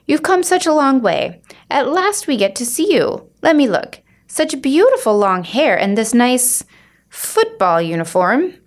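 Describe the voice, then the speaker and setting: A woman's voice: high-pitched, sing-song, unhurried, voice pushed up. A woman voicing a welcoming middle-aged aunt in a children's storybook, with a gushing manner. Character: friendly but awkward Indian aunt, middle-aged woman.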